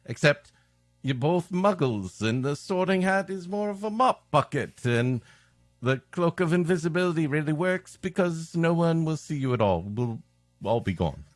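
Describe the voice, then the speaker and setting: Sardonic male co-host, very animated. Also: fairly high pitch, moderate pace, dry recording, clean studio sound, soft background music. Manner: sardonic, wisecracking. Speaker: podcast co-host, interrupting commentator. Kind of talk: comic banter.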